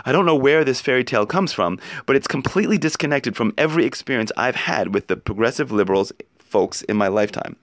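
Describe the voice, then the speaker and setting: A man reading an opinion essay aloud in an expressive, emphatic and rhetorical way. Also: mid-range voice, quick pace, dry recording, studio quality. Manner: emphatic, rhetorical delivery with pointed questions. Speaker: narrator reading an article aloud.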